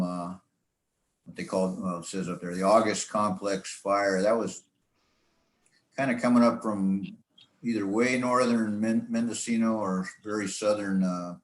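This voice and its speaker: A man giving an informal club talk about his hobby in a rambling, halting, folksy way. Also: sits low. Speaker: presenter, amateur radio hobbyist. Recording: echoey room sound.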